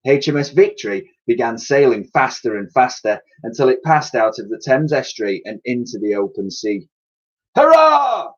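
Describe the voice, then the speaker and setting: A man's voice: mid-range voice, normal speed, hardly any pauses. A man reading a children's story aloud with expression, on a dull home recording. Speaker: story reader.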